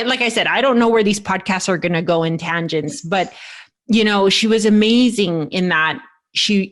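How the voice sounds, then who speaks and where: Rambling American woman in her forties. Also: mid-range voice. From United States. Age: 40s. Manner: rambling, restarts sentences often. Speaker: podcast host. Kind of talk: casual podcast conversation.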